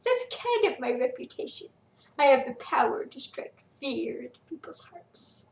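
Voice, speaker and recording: A woman's voice: high-pitched, very animated, unhurried, vocal fry, heavily acted. Woman voicing a sinister gloating Roman general. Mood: menacing. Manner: sinister, mocking. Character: gloating cruel male Roman general villain. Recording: roomy echo, distant mic.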